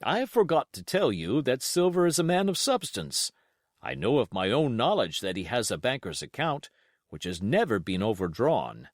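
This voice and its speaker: Expressive man narrating, with lively swooping pitch. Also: moderate pace, no echo, clean studio sound. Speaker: audiobook reader. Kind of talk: expressive literary narration of a classic adventure novel.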